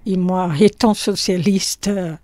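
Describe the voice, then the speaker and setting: Slow older woman, Portuguese accent. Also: lowish voice, fairly even intonation, a little mellow, no room sound, studio quality. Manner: slow, hesitant, with many fillers. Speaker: shopkeeper. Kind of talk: interview in her shop. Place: small shop indoors.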